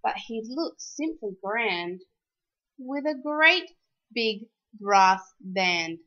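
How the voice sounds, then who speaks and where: Female storyteller for picture-book verse: high voice, very lively sing-song delivery, dull lo-fi sound. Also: drawn-out words. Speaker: storyteller reading a children's picture book aloud. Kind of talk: expressive children's storybook read-aloud.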